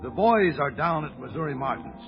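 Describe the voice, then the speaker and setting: An actor playing a nervous, soothing companion, hesitant and reassuring, in old-time radio drama style. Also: male voice, fairly high pitch, animated, normal speed. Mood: anxious, reassuring. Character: nervous, soothing male companion to a worried woman. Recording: muffled old radio with music behind.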